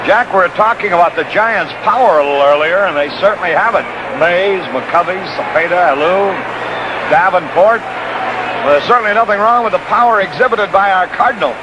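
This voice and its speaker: Very high-pitched male baseball commentator, noisy. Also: moderate pace, dull audio. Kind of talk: 1960s radio sports commentary. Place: stadium broadcast booth. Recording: noisy old 1960s radio broadcast.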